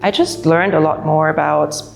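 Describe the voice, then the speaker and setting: A woman's voice: sits low, fairly even intonation, moderate pace, gravelly. A young woman from Germany giving open, earnest interview answers. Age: young adult. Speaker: program participant.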